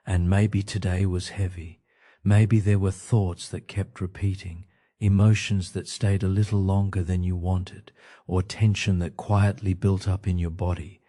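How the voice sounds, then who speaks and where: A man narrating a guided sleep meditation in a gentle, soothing manner, leaving pauses between short phrases. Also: deep voice, level tone.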